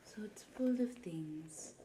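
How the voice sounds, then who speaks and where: A woman playing a mocking, menacing pirate queen in a soft-spoken ASMR roleplay, breathy and often whispering close to the mic, drawing out and repeating her words. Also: fairly low pitch, lively intonation, slight background noise, poor audio. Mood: accusing, smug. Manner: slow, drawn-out, repeating words, theatrical. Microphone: close ASMR microphone.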